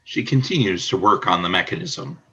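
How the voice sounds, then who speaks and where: A man with a bright, rough-edged, fairly low voice narrates a radio play. He reads scripted scene descriptions formally and deliberately, like an announcer. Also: animated, highs cut off.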